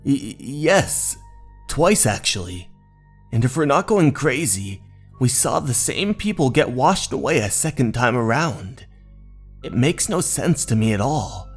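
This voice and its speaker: Jumpy young male hiker, played by a man narrating, stammering, exclamatory, very animated intonation, horror manga dub. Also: mid-range voice, unhurried, slightly rough, exaggerated voice. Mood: nervous. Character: alarmed young male hiker, bewildered and excitable. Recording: music under the voice.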